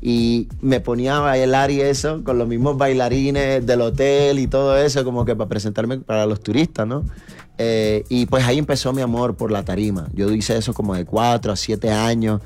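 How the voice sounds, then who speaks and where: Middle-aged man, from new york, interview guest, relaxed storytelling, faint background music. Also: medium pitch, normal speed. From New York, United States. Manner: easygoing, storytelling, many fillers. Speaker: reggaeton artist, guest. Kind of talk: casual interview talk.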